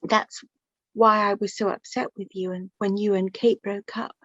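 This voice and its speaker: An actress playing a flustered, kindly middle-aged mother in a radio play, hesitant and gently chiding. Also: medium pitch, little pitch movement, moderate pace, dry recording, muffled recording, close to an everyday voice. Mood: warm, wistful.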